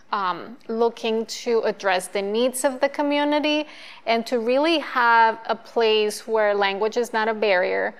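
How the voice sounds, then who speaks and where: A woman from Colombia, a nonprofit board member, speaking earnestly and in measured phrases in a community TV interview. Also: mid-range voice, smooth.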